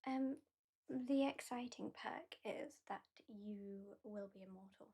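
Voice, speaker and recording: A woman's voice: mid-range voice, unhurried, husky. British woman playing a sardonic whispering vampire. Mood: teasing. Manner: soft-spoken, drifting into whispers, dryly sardonic. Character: haughty, mocking female vampire with attitude. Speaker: ASMR performer. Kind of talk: ASMR roleplay.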